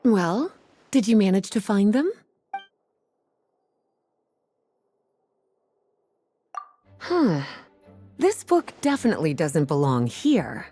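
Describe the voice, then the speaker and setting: A woman playing a composed, knowing, gently teasing librarian in a video game. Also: medium pitch, big pitch swings, measured pace, soft background music. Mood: poised, knowing.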